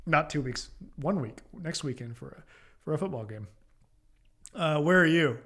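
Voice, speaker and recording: American man, slightly high voice, reassuring advice. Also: expressive, moderate pace, fairly bright tone. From Oklahoma, United States. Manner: direct, reassuring, repeats phrases for emphasis. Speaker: immigration lawyer hosting a live Q&A. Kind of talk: casual live-stream advice to viewers.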